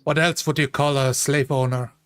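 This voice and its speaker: A man playing a stoic, lost mountain traveller in a tabletop game, measured and matter-of-fact. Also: medium pitch, fairly even intonation, clean tone, natural voice. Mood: questioning.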